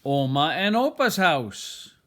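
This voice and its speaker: An older man reading a children's picture book aloud, expressively and deliberately, with dramatic pauses. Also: a little high, sing-song, pushed and bright. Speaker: storybook reader.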